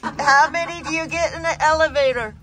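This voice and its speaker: A woman's voice: high-pitched, steady tone, fairly quick. A woman giving casual vlog commentary while filming inside a storage facility. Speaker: vlogger filming the auctions. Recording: busy crowd chatter behind.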